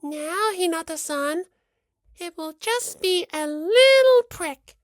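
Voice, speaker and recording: A performer voicing a timid yet sweetly pushy teenage girl nurse in an audiobook, coaxing in drawn-out phrases. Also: very high voice, lively intonation, dry recording, studio quality, voice pushed up, exaggerated voice. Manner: sugary, coaxing, drawn-out delivery.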